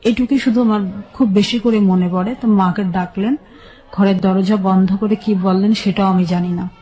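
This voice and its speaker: A woman with a Bangladeshi accent telling her personal life story as a radio guest, recalling it haltingly in short phrases. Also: mid-range voice, steady tone, warm tone, low-quality recording.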